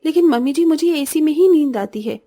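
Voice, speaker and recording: A woman's voice: high voice, animated, a little fast, warm tone, faint music behind. A woman playing a young bride in a cartoon family drama who is polite on the surface and resentful underneath. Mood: politely reluctant.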